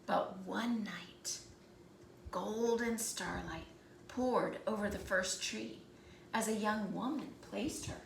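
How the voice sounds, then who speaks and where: A woman narrating a children's storybook aloud with deliberate, dramatic phrasing. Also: mid-range voice, vocal fry. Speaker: storybook reader. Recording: roomy echo, faint background noise.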